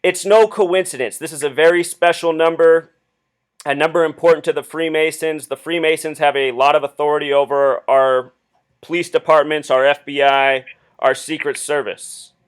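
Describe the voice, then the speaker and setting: Insistent man with a slightly high voice. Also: steady tone, normal speed, clear, resonant tone. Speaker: caller phoning the police with a theory. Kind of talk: earnest explanatory phone monologue.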